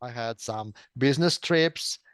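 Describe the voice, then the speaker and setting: Middle aged russian guy w accent chatting in an interview, smooth clear voice, dry sound, bit hesitant. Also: mid-range voice, measured pace, dry recording. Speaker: interview guest, simultaneous interpreter. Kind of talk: conversational interview answers about own career.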